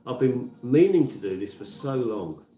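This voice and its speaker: A man's voice: mid-range voice, lively intonation, moderate pace, warm tone. A man in his fifties with a Birmingham accent, presenting live radio in a casual, rambling way, full of fillers and restarts. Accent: Birmingham (Brummie). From Birmingham, England. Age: 50s. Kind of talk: casual live radio chat with song links. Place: community radio studio. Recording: echoey room, mic at a distance. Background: music playing.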